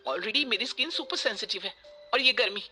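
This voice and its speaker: A woman's voice: a little high, animated, fairly quick, bright, forceful tone. A woman voicing a spoiled, demanding young city bride in a story cartoon, whiny and petulant, dropping in English words. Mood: whining. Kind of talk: Hindi moral story cartoon voice acting. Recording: music bed behind the voice.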